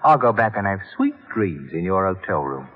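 An actor playing an amiable, mercenary professor in an old radio drama, wry and mock-sentimental, with a husky voice. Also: male voice, sits low, big pitch swings, moderate pace. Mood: wry, sly. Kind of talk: 1940s-50s radio acting. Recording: tinny, reverberant old radio recording.

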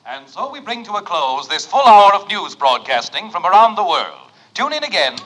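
An actor playing a formal newscast announcer in an old-time radio comedy, in 1940s announcing style. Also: male voice, high voice, sing-song, moderate pace, fairly bright tone, heavily acted, poor audio. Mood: polished, formal.

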